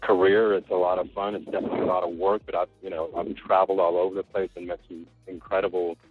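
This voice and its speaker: Casting: easygoing male chef, deep husky voice, phone-quality sound, dry. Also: flat delivery, quick pace, dry recording, soft background music. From Costa Rica. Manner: easygoing, rambling, many fillers. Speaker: chef being interviewed. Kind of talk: relaxed conversational interview. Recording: tinny phone-like line.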